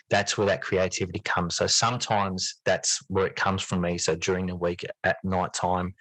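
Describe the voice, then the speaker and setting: A man with a low voice talks reflectively as a podcast guest. He is earnest, repeating and reworking phrases as he goes. The sound is dry, with very little room around it. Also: fairly bright tone, dry recording, rough recording. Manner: earnest, repeats and rephrases himself as he thinks. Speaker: podcast guest, teacher and writer. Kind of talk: reflective interview talk.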